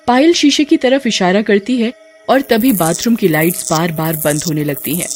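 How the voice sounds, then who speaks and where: A woman's voice: medium pitch, normal speed, faint music behind. A woman storyteller delivering scripted horror story narration.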